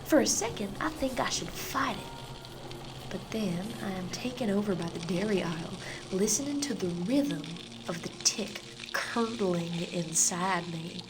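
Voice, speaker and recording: A woman acting a radio play monologue as a dreamy, unsettled woman obsessed, intimate and confessional, often slipping into breathy whispers. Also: mid-range voice, animated, unhurried, noisy background, close to an everyday voice. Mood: feverish, entranced. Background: music and sound design.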